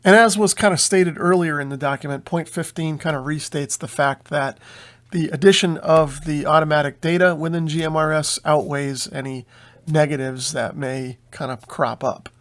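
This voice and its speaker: Higher-pitched man explaining a document. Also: fairly even intonation, normal speed, fairly bright tone. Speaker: host of a radio hobby channel. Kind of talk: explanatory commentary while reading through a document.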